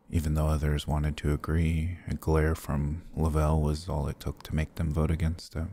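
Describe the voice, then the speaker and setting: Man acting a very deep, husky vampire lord. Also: full character voice. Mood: somber, bitter. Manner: gentle, formal, halting speech. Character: courteous, protective, brooding male vampire lord. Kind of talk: intimate ASMR roleplay acting.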